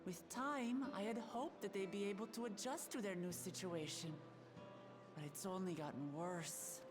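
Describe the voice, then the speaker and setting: A woman's voice: medium pitch, moderate pace, over music, natural voice. A woman playing a solemn, resolute warrior in a video game, grave, blunt and direct in manner. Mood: weary, regretful. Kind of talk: scripted video game voice acting.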